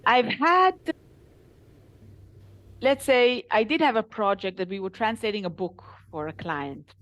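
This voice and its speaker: Israeli woman, business founder guest, animated storyteller, mid pitch. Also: a little slow. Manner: animated storytelling, laughs while talking. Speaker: translation company founder, interview guest. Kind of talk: casual interview conversation.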